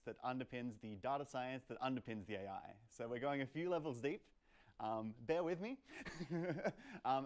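A young man presenting an informal lecture to a meetup in a lecture room, in a playful, conversational manner with plenty of "like" and "kind of". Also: medium pitch. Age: young adult. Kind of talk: informal live lecture with audience questions. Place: medium-sized lecture room, indoors.

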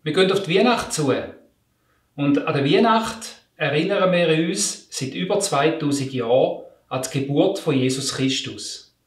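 A man, a youth pastor, giving a calm, deliberate Christmas message and reading scripture. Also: fairly high pitch. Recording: echoey room, mic at a distance.

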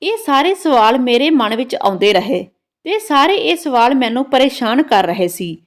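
A woman's voice: high voice, very animated, moderate pace. A woman narrating a scripted first-person story in a dramatic storytelling manner.

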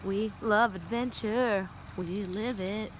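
Woman vlogging in the snow on her phone, kinda slow talker, jokey, lots of noise around. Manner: joking, excitable, bursts of high shouts. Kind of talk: casual vlog narration. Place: outdoors in snow by a stuck truck. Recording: loud outdoor noise.

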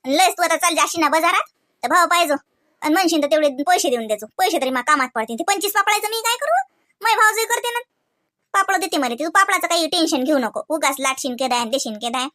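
A woman as a blunt village aunt, bright Varhadi voice. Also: very high-pitched, animated, fast talker, dry recording, clean studio sound, full character voice. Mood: indignant, bargaining. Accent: Varhadi Marathi, Vidarbha. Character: older village aunt, blunt, proud and shrewd. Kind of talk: Marathi comedy cartoon dialogue.

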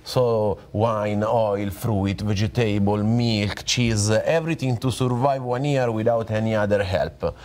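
Italian guest, male, low voice, halting second-language English, playful. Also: a little mellow. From Italy. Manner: hesitant, many filler pauses, playful joking. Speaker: winemaker guest. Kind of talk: TV interview.

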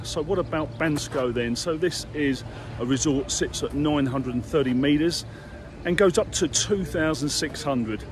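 A man's voice: a little high, noisy background. A middle-aged man talking to a handheld camera outdoors at a ski resort, a chatty, upbeat guide giving facts and prices. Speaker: travel vlogger. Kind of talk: casual travel vlog to camera. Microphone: action camera held at arm's length.

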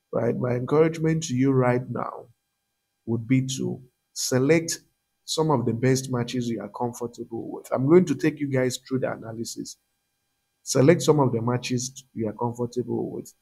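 Gravelly Nigerian man, chatty. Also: mid-range voice, a little mellow. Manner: chatty, many "um" fillers, addresses viewers directly. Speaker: betting tips channel host. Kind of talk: casual screen-share commentary.

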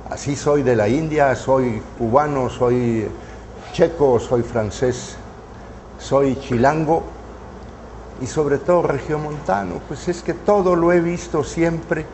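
Slow-talking older man. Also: fairly high pitch, a little mellow, a little hiss or hum, rough recording. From northern Mexico. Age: 70s. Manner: unhurried, hesitant, many fillers and restarts. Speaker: painter interviewee. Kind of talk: relaxed reminiscing interview. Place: artist's studio, indoors. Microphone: lapel mic.

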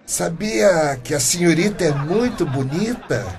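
Creaky, grumbling old Brazilian man. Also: on the high side, animated, measured pace, a little mellow. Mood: flirtatious. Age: older. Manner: exclamatory, indignant. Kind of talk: radio drama acting.

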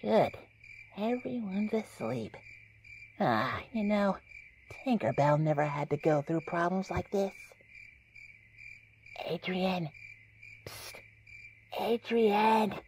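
A woman voicing a tiny, cheeky, fretful magical cat creature in an animated comic dub. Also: on the low side, slow pace, long pauses, slight background noise. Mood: hushed, grumbling.

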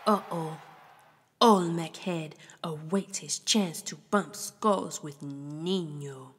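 A woman's voice: deep voice, takes it slowly, long reverb tail, slight background noise. A woman storyteller reading a children's picture book aloud in a theatrical way, moving between whispers and exclamations.

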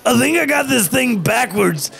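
A man as a high, very gravelly grumpy elf. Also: lively intonation, normal speed, a bit bright, exaggerated voice. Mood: surly. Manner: surly, sarcastic. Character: crude grumpy male Christmas elf helper.

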